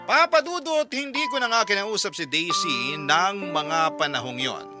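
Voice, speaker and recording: A man's voice: sits high, lively intonation, normal speed. A man narrating a scripted first-person story as a radio host, reading out a letter. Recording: music bed under the voice. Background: prominent background music.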